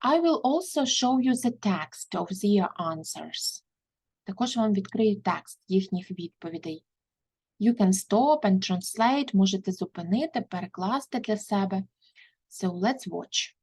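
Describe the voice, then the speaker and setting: Husky woman teaching English. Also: mid-range voice, lively intonation, a little slow, darkish tone. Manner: reads each English word, then its translation. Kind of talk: bilingual lesson explanation.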